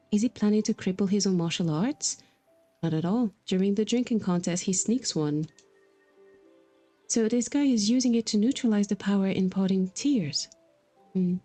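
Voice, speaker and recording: Mellow-voiced woman livestreaming a game. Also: mid-range voice. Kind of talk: casual livestream chat and reading game dialogue aloud. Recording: music behind the voice. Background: game music.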